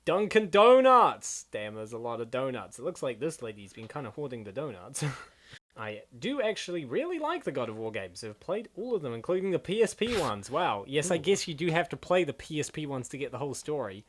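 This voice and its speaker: A man's voice: on the high side, very animated, a little fast, a bit bright. A man giving casual, off-the-cuff commentary in a rambling, jokey way. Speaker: commentator on webcomics.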